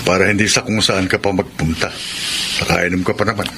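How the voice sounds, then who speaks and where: In a clearly noisy recording, an older brother in a radio drama. He is low-pitched, protective and gently advising. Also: fairly even intonation, fairly quick. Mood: concerned, calm. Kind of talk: Filipino radio drama acting.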